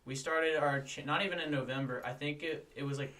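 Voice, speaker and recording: A man's voice: a little high, steady tone, fairly quick, sharp tone, far from the mic. A teenage boy co-hosting a podcast in a small studio, talking up upcoming projects with a hyped, promotional manner and many fillers. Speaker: podcast co-host. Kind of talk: casual podcast chat. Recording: voice a bit off-mic.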